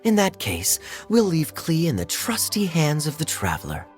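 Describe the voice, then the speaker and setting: An actor voicing a suave, wry adult knight in a video game. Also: male voice, fairly high pitch, wildly swooping pitch, normal speed, soft background music.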